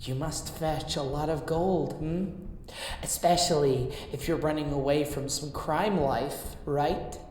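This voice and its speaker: A woman playing a motherly but dangerous lycan mercenary in an intimate roleplay audio drama, teasing in a slow purr. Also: low voice, darkish tone, a little hiss or hum. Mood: coaxing, flirtatious. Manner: slow, teasing, purring endearments. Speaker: amateur voice actor. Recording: echoey reverb with music underneath.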